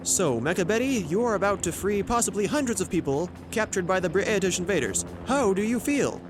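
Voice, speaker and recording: A man's voice: high-pitched, lively intonation, brisk, noisy background. A man playing an earnest, nervous young war reporter in an audio drama, questioning and narrating events. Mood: questioning, dry. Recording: music behind the voice.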